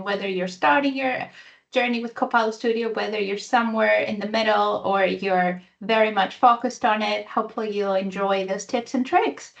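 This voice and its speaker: A woman's voice: mid-range voice, gravelly, a bit bright, highs cut off. A woman with a Greek-Italian accent, presenting at a virtual user group in an enthusiastic, chatty way with many fillers, over a video call. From Greece and Italy. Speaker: guest speaker.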